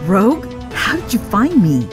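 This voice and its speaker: A woman's voice: medium pitch, huge pitch swings, a little slow, over music. A woman playing a defiant, startled government agent under attack in an audio drama. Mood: alarmed.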